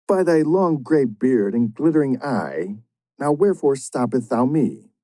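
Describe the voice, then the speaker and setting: A man's voice: mid-range voice, expressive, measured pace, warm tone, no echo, clean studio sound, pitched above the performer's own voice, lightly acted. A man voicing an impatient young male wedding guest in a dramatic poetry reading, speaking in a raised, pleading tone.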